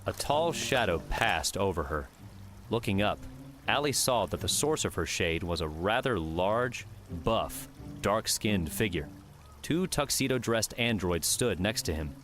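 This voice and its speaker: Low-voiced man narrating an audiobook. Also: expressive, unhurried, a bit bright. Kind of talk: scripted fiction narration.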